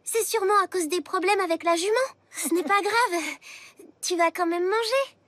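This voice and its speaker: A woman's voice: extremely high pitch, normal speed, fairly bright tone, dry recording. A woman voicing a caring, resourceful young girl in a dubbed cartoon, talking in an earnest, chatty way. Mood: sweet. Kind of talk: cartoon dubbing.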